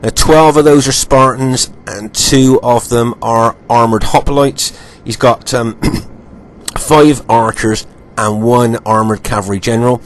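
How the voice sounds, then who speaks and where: A man with a croaky voice, recovering from illness, giving unhurried commentary over strategy game footage, with many ums and repeated points. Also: medium pitch, fairly even intonation, slight background noise.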